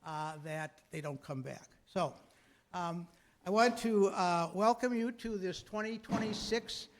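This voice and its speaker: A man's voice: sits high, unhurried. A man giving an informal, hesitant, lightly humorous welcome as host of a live radio play. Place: live before an audience.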